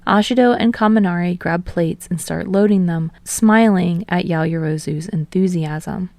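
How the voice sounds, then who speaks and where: A woman's voice: medium pitch, a little mellow, no echo. A woman reading scripted fiction as an audiobook narrator.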